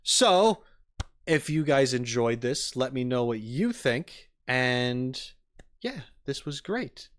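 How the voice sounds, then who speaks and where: A man hosting a livestream, chatting casually and playfully with viewers, rambling and often swinging up into high-pitched emphasis. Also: big pitch swings, slow pace, a bit bright, no room sound, studio quality. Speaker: streamer and reaction video host.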